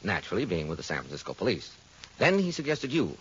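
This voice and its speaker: A man's voice: fairly low pitch, wildly swooping pitch, quick pace, slight background noise. An actor playing a persuasive male insurance adjuster in a 1940s radio drama, with a dull old radio sound.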